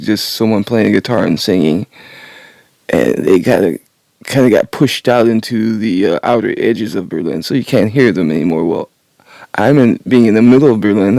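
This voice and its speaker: A man in his sixties talking about learning to sing in his retirement, raspy and a little congested, halting with many pauses and small laughs. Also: fairly low pitch, warm tone, no echo. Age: 60s. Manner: halting, many uhs and restarts, laughs to himself. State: nasal congestion. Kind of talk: casual rambling monologue. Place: home, early morning.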